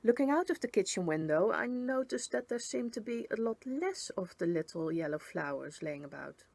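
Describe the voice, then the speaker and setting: Casting: female story reader, middle-pitched, expressive first-person narration, very dry close recording. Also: moderate pace, vocal fry, no room sound.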